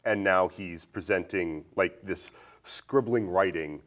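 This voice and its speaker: A man's voice: deep voice, slow pace, fairly bright tone. A man hosting a casual movie discussion in a playful, bantering manner. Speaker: podcast host.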